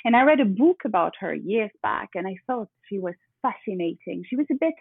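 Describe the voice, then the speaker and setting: A woman's voice: medium pitch, animated, fairly quick, creaky, husky, no room sound. A woman with a French accent, a native French speaker, talking casually as an interview guest, thoughtful and sometimes searching for English words. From France. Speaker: guest author. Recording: tinny phone-like call line, dry.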